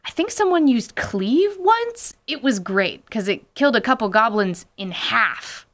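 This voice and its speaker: A woman's voice: on the high side, sing-song, a little slow, a bit bright. A woman narrating a scripted personal story with wry, casual asides and light swearing. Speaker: podcast host.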